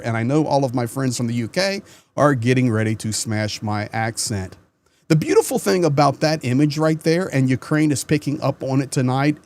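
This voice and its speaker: A man talks straight to his viewers as the host of his own commentary channel. He is earnest and conversational, and sometimes repeats a word as he starts a sentence. His voice sits at an ordinary middle pitch. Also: darkish tone. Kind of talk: casual news commentary to camera.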